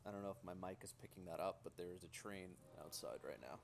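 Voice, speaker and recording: A man's voice: sits low, quick pace, a little hiss or hum. A man doing casual, sarcastic let's-play commentary over a video game. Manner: joking, sarcastic, irreverent. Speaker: gamer doing a video playthrough. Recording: loud game music behind. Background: game music and audio.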